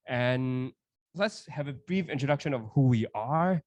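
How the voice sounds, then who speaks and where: A man's voice: medium pitch, animated, unhurried, darkish tone, dry recording, lo-fi sound. Upbeat young man co-hosting a podcast. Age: 20s. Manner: upbeat, laughs easily, finishes others' sentences. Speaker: podcast co-host. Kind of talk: casual chat at a live event. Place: live university event.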